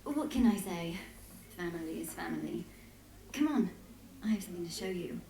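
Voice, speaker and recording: An actress playing a keen, headstrong historian in a video game, with an inquisitive, insistent manner. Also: female voice, on the low side, animated, moderate pace, creaky, mellow, echoey room. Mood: warm, teasing. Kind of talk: dramatic video game voice acting.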